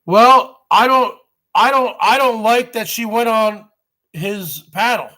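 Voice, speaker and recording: A man's voice: high-pitched, slow pace, sharp tone. A man in his forties with a stutter, hosting a live stream and airing a grievance to his viewers in a repetitive, aggrieved way. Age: 40s. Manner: aggrieved, repeats himself.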